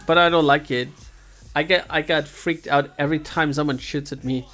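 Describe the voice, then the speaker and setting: German streamer, chatty and halting. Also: male voice, a little high, steady tone, fairly bright tone, soft background music. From Germany, Bavaria. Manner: hesitant, restarts sentences, chuckles often. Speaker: game streamer. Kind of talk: casual stream chat.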